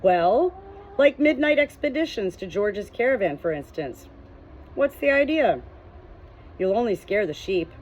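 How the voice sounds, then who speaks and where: A woman's voice: mid-range voice, very animated, measured pace, muffled recording, slight background noise, voice pushed up. A woman voicing a taciturn, self-assured male shepherd in an audiobook, speaking in a curt, commanding way. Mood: firm warning. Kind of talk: audiobook character dialogue.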